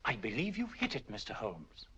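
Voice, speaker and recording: An actor playing a formal, grave official in a 1940s film. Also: male voice, mid-range voice, huge pitch swings, moderate pace, pushed and bright, a little hiss or hum. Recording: dull lo-fi 1940s film soundtrack.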